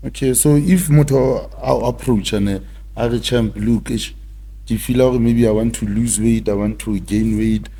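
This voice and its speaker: A man's voice: medium pitch, fairly even intonation, measured pace, mellow, slightly rough. A man with a South African accent hosting a casual interview, asking questions in hesitant phrases and mixing in a local language. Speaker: talk show host.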